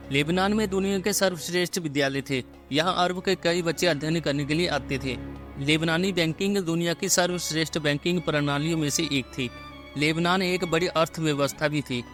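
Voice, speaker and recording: Male voice-over narrator, very fast, fairly high voice, urgent direct address, music underneath. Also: a bit bright. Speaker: narrator of a video channel.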